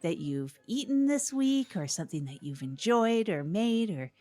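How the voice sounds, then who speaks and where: A woman playing an earnest, gushing small-town community radio host in a comedy show, a little flustered and halting in her phrasing. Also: medium pitch, big pitch swings, warm tone, soft background music, natural voice. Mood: cheery, hosting. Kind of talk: improvised mock public access radio chat.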